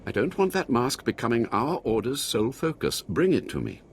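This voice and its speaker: An actor playing an earnest, anxious scholar of a secret order in a video game, speaking expressively. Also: male voice, mid-range voice, wildly swooping pitch, a little fast, slight background noise. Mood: earnest, persuasive.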